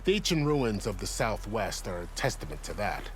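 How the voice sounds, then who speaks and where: Deep, expressive army commander. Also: male voice, normal speed, slight background noise. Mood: grave, measured. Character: weathered male army commander, steadfast, solemn, courteous. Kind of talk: video game cutscene dialogue.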